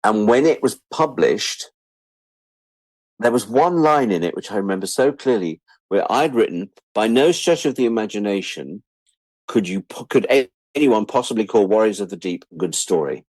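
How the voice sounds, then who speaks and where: A man's voice: fairly low pitch, expressive, normal speed, darkish tone, no room sound, rough recording. An older man with a British accent telling rambling, candid anecdotes as an interview guest, sweary and self-deprecating. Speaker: interview guest, writer-producer.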